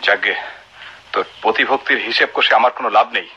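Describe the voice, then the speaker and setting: An actor in a Bengali radio play playing a proud, defiant, protective brother, speaking in a stern, biting way. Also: male voice, medium pitch, quick pace, pushed and bright, husky, heavily acted. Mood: bitter, urgent. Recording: dull lo-fi radio drama recording.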